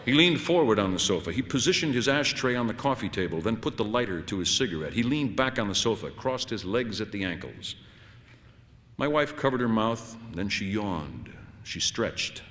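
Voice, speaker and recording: Bright-voiced man reading fiction, very lively pitch. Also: normal speed. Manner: wry, conversational, dramatic delivery with shifts into dialogue. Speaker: audiobook narrator. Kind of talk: expressive first-person literary short story reading. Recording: long hall-like reverb.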